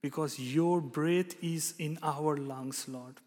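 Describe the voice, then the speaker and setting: Slow Indian-born preacher in a reverberant hall. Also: male voice, a little high, fairly even intonation, a little mellow. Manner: halting, many fillers and restarts. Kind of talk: sermon with prayer. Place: church hall.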